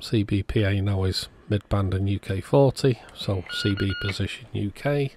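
A man's voice: lowish voice, normal speed, noisy background. A man giving a casual, matter-of-fact workbench narration as the host of an electronics repair video.

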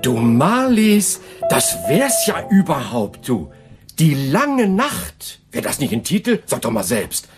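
An actor in a German radio play, playing a lively, enthusiastic jazz musician and cajoling husband. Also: male voice, a little high, slow, loud music behind the voice, exaggerated voice. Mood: excited. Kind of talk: German radio drama acting.

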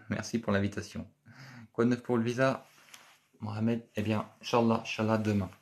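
A man, talking straight into a phone held at arm's length in a small office. His voice sits a bit low with a gravelly edge. He reads viewer comments aloud and answers them in a relaxed, rambling way, repeating words and pausing on fillers. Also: slight background noise. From France. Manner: chatty, repeats himself, many filler pauses. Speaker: live stream host. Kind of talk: casual live-stream chat, reading and answering viewer comments. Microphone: phone camera at arm's length.

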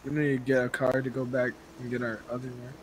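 A man with a mid-pitched voice chats casually as he plays an online roleplay game. His talk is slangy and sweary, with faint vehicle and game ambience behind it. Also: level tone, fast talker, a little hiss or hum, low-quality recording. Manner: slangy, profane. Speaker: gaming video creator playing online roleplay.

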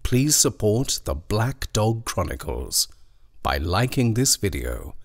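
Deep, slow, gravelly man reciting horror poetry. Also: sing-song, no room sound, clean studio sound. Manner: brooding, deliberate, often hushed. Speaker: poetry reader. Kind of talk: dramatic horror poem recitation. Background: eerie sound design ambience.

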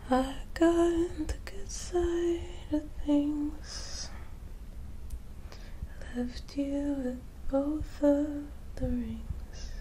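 A woman ASMR performer singing softly and sleepily in a breathy, gentle voice. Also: high-pitched, a little hiss or hum, poor audio. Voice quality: soft, breathy.

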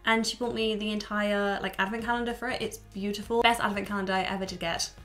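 A woman's voice: medium pitch, fairly even intonation, quick pace, a bit bright, soft background music. A woman in her twenties chatting to camera, candid and swearing freely, a little stuffy from a cold, in a small room at home. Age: 20s. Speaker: YouTuber. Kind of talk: casual chat to camera.

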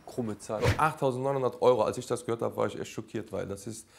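A man's voice: medium pitch, fast, vocal fry, noisy background. A man in his thirties talking informally and directly, as a TV dealer who haggles and comments. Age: 30s. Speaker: TV junk-dealing expert and presenter. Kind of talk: casual haggling and commentary to camera. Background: faint background music.

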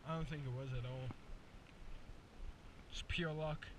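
A young man vlogging his fishing trip at a pond, chatting casually and joking, with loud excited cheers when he hooks a fish. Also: fairly high pitch, a little slow. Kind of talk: casual outdoor fishing commentary. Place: outdoors at a pond. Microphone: head-mounted action camera. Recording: distant mic with outdoor noise.

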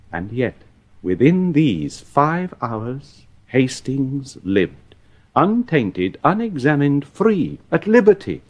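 An actor playing a sardonic, troubled scribe, delivering a deliberate, rueful Shakespearean soliloquy for radio drama. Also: male voice, medium pitch, darkish tone.

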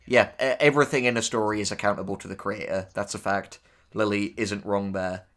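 A man's voice: on the low side, steady tone, a little fast, a bit bright. Man casually debating as co-host. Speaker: podcast co-host and audio drama writer. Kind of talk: casual discussion and critique.

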